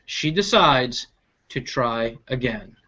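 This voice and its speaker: A storyteller reading a picture book aloud to children in a deliberate, expressive way, stretching out key words. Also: mid-range voice, lo-fi sound, a little hiss or hum. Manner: animated, deliberate, stretching key action words, with asides to listeners.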